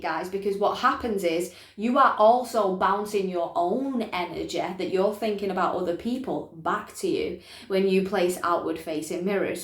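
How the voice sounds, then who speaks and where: Woman explaining emphatically in an echoey room. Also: fairly low pitch. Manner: emphatic, repeats points, often checks in with listeners. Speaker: spiritual teacher presenting a self-help video. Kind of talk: informal explanatory talk to camera. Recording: echoey, roomy sound.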